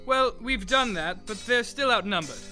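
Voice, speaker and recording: A man's voice: extremely high pitch, expressive, moderate pace, bright, forceful tone, soft background music, heavily acted. A man playing a dutiful, earnest army aide in a sci-fi audio drama. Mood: worried.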